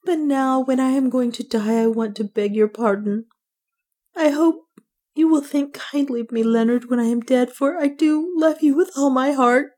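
Lady reader doing a scared young heroine in an adventure audiobook, high voice, tearful n pleading. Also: expressive, moderate pace, dark tone, husky. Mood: tearful confession. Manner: faint, emotional, pleading. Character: frightened young woman, proud but tearful, pleading and loving.

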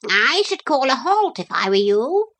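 A woman's voice: high-pitched, big pitch swings, measured pace, fairly bright tone, pitched above the performer's own voice, exaggerated voice. A woman voicing a knowing, impatient, proud talking parrot in a storybook, with prim, emphatic delivery. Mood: knowing, advising. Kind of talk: storybook character voice.

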